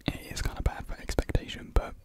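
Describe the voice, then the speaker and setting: A British man in his twenties whispering a casual ASMR ramble softly, close to a desktop microphone in a small room. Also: fast talker. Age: 20s. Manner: soft unvoiced whispering. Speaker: ASMR video creator. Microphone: close desktop USB microphone.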